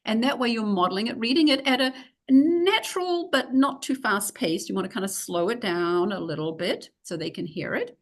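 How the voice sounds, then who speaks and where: A woman's voice: on the high side, animated, moderate pace. A middle-aged woman with a New Zealand accent, explaining reading instruction for English learners in a recorded video-call lecture, practical and full of fillers. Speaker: teacher trainer.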